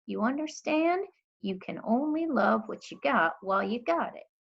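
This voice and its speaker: A woman's voice: a little high, expressive, normal speed, vocal fry, slightly rough, pitched above the performer's own voice, heavily acted. Storybook elder woman, read by a female narrator: kindly, firm, earnest, folksy; slightly muffled recording. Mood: tender, earnest.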